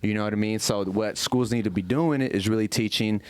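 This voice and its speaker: Man, low pitch, casual podcast talk, slangy, profane, opinionated, long-winded explanations. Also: a little fast, darkish tone, dry recording. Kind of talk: casual group chat, opinionated.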